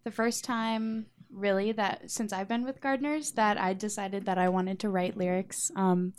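A woman's voice: medium pitch, fairly even intonation, normal speed, smooth. A woman who plays in a band, talking casually as an interview guest. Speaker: band member and lyricist being interviewed. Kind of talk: casual chat.

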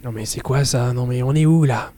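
A man playing a whiny, touchy elf in an improvised fantasy sketch, halting and repeating his words. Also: mid-range voice, dark tone, voice pushed down, natural voice. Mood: touchy, indignant. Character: whiny, touchy elf adventurer longing to become a dwarf.

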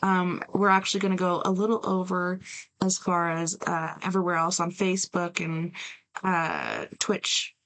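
A woman's voice: lowish voice, animated, normal speed, a bit bright. A woman hosting a livestream in casual chat, bluntly reacting and talking back at a video, sometimes swearing. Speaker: livestream show host. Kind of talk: casual live reaction commentary. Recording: noisy livestream room sound.

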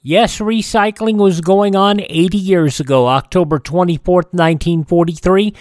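A man's voice: high-pitched, moderate pace, darkish tone, no room sound, studio quality. A man presenting a radio show from a script as its host.

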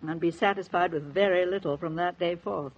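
An actress playing a shrewd, gently probing middle-aged mother-in-law in 1940s radio drama style, husky and knowing. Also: fairly low pitch, a little fast, a little hiss or hum, close to an everyday voice. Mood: amused. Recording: dull vintage 1940s radio transcription.

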